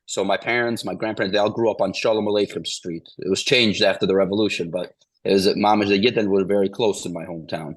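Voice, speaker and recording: Forties male guest from Ukraine; deep, even delivery with little movement, meandering with restarts. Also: quick pace, husky, no room sound, rough recording. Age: 40s. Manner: meandering, restarts, repeats "the bottom line is". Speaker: interview guest. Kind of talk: casual interview storytelling.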